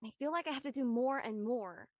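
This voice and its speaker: A woman's voice: fairly high pitch, a little fast, no echo, natural voice. A woman playing an uptight, driven teenage sister, blunt and earnest, in a scripted drama. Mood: earnest, stressed.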